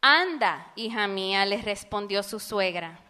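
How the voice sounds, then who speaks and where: A woman interpreting a sermon phrase by phrase, quick and fluid, in a church. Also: mid-range voice, animated, sharp tone, smooth, in a large hall. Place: church sanctuary.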